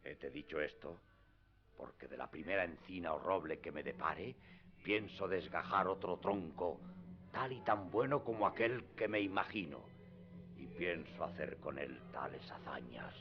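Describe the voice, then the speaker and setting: A very deep-voiced, proud knight-errant. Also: male voice, unhurried. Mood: boastful, resolute. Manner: declamatory, lofty, archaic speech. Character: proud, deluded old Spanish knight-errant, grandiose and solemn. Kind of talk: radio theatre acting. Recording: dull lo-fi radio drama with music.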